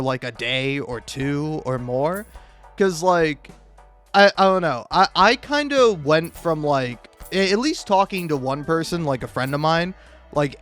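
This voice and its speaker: Young man, slightly high pitch, animated, self-deprecating, casual rambling, amateur mic, soft background music. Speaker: video creator. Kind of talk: casual rambling gaming commentary monologue. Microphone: cheap mic, home recording. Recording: amateur mic with occasional plosive pops.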